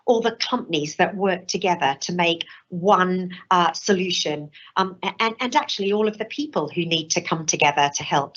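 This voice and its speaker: A woman from Australia, a managing director answering interview questions in a confident, articulate, measured way. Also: mid-range voice, fairly bright tone. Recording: dull lo-fi audio.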